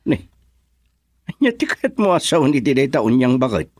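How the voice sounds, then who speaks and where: A man's voice: medium pitch, big pitch swings, normal speed, husky, dry recording, studio quality. A man playing a middle-aged father in a radio drama, mildly chiding, with an Ilocano accent. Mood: questioning.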